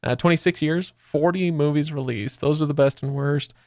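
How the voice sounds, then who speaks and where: A man's voice: a little high, normal speed, creaky, a little mellow, no echo, studio quality. A man hosting a podcast in a casual, jokey, bantering chat style. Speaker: podcast host.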